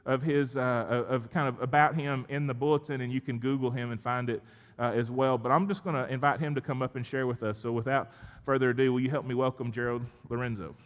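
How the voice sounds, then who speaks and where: A man's voice: mid-range voice, steady tone, a little fast, slight background noise. A man speaking as a pastor to his church, conversational and emphatic, telling personal anecdotes in a church address. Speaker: pastor leading a worship service. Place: large church sanctuary. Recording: echoey church hall with long reverb.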